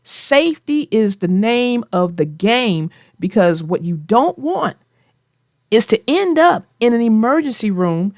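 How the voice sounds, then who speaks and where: A woman's voice: on the high side, sing-song, a little slow, darkish tone, no room sound, studio quality. A woman host discussing a children's story and giving advice in an emphatic, conversational way. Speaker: host of a children's read-aloud show.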